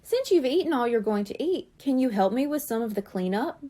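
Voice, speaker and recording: A knowing mother cat from a storybook, gentle and motherly, with a bright and lively voice. A woman reads her aloud. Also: a little high, fairly quick.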